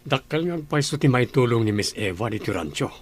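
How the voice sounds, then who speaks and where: A man's voice: medium pitch, animated, fairly quick, close to an everyday voice. A man playing a worried father and boss who questions patiently, in a radio drama. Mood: concerned.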